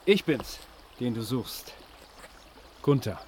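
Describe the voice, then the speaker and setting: Slow-spoken proud ruler. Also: male voice, medium pitch, very animated, a little mellow, slight background noise. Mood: gracious. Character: proud, gracious male ruler greeting a hero. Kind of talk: German fantasy radio drama acting.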